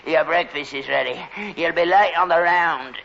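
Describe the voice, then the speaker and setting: A man's voice: fairly high pitch, normal speed, vocal fry. An actor playing a grumbling, stubborn elderly working-class father with a London Cockney accent in a vintage British sitcom. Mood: grumbling, defensive. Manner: querulous, nagging. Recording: dull, lightly noisy vintage broadcast recording.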